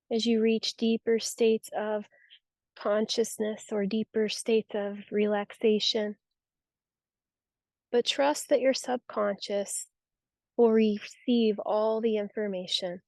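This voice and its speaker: A woman guiding a yoga nidra relaxation practice with soothing, unhurried, gentle instruction. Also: a little high, fairly even intonation, creaky, dry recording. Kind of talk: guided relaxation meditation.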